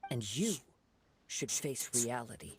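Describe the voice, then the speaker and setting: Composed young samurai, noisy background. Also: male voice, mid-range voice, wildly swooping pitch. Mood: reproachful, firm. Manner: measured, formal, composed phrasing. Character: calm, earnest young wandering samurai, gently resolute. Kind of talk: video game voice acting.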